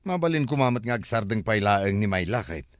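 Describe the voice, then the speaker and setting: A man's voice: on the low side, animated, fast, no room sound, studio quality, natural voice. A man with an Ilocano accent playing an exasperated, teasing countryman in a comedy-drama. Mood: exasperated, resigned.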